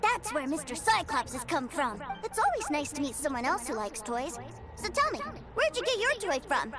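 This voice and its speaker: A woman voicing an eager young boy in a game who loves toys, talking with enthusiastic, boastful excitement. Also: high voice, normal speed, over loud music. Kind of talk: game voice acting.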